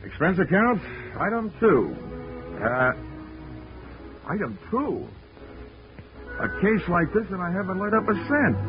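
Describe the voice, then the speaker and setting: A man's voice: high-pitched, very animated, unhurried, pauses often. An actor playing a wisecracking male insurance investigator, narrating in a dry, wry, hard-boiled way in a 1940s radio drama. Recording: tinny 1940s radio broadcast with music.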